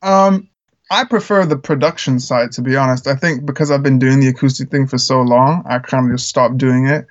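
A man's voice: mid-range voice, steady tone, brisk. Earnest rambling man, muffled audio. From Czech Republic. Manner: earnest, rambling with frequent fillers. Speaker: musician guest. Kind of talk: casual interview talk.

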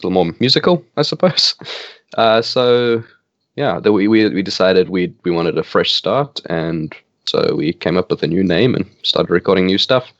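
Man, 20s, Afrikaans native speaker from South Africa; very low voice, relaxed and laughing, dry muffled recording. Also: normal speed, no echo. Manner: relaxed, laughs often, hesitant restarts. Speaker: band bassist. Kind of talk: casual interview chat.

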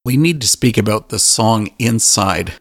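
A man interviewing a musician, asking measured, prepared questions. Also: lowish voice, animated.

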